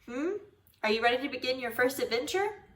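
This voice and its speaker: A woman reading a children's book aloud, voicing a gentle, soothing, encouraging father. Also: sits high, lively intonation, brisk, slight background noise. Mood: reassuring, coaxing. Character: gentle, reassuring father of a first-grader. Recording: roomy echo, distant microphone.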